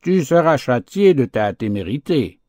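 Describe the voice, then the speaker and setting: Man voicing a cruel, imperious, lively-pitched storybook wolf. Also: measured pace, no room sound, studio quality. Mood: enraged. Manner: accusing, imperious. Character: hungry, cruel male wolf bullying the weak.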